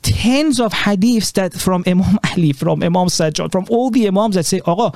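A man, a religious scholar, talking in an earnest, emphatic conversational way with quick rising exclamations. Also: high-pitched, darkish tone, dry recording, studio quality. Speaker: Islamic scholar and guest. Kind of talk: animated conversational talk.

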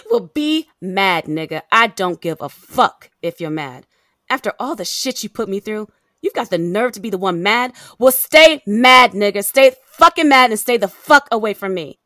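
A woman's voice: medium pitch, animated, unhurried, fairly bright tone, no room sound, clean studio sound. An actress with an American accent playing a fed-up, defiant woman in an audio drama, blunt and emphatic. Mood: furious, defiant. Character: fed-up, fiercely defiant young woman facing abusive ex.

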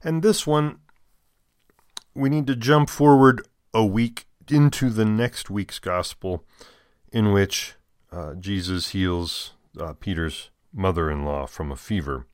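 An American man serving as a deacon, reading scripture and adding folksy, deliberate commentary. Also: lowish voice, clean tone, dry recording, clean studio sound. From United States.

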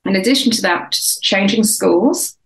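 A woman's voice: medium pitch, moderate pace. Older English woman in an echoey room. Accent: English, softened London. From England. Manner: reflective, rambling with many restarts. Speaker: author and guest. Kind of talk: casual interview chat. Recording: roomy echo.

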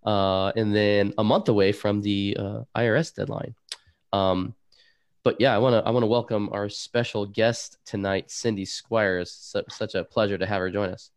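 A middle-aged man hosting a podcast live stream, talking in a halting way with many fillers. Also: lowish voice, little pitch movement, smooth, no room sound, studio quality. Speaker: podcast host. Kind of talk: casual live-stream chat.

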